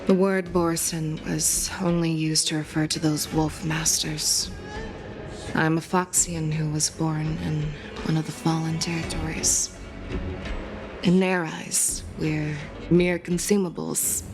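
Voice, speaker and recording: An actress playing a resolute fox-woman general in a game, measured and commanding. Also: sits low, flat delivery, over music. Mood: grave, reflective. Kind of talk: dramatic video game voice acting.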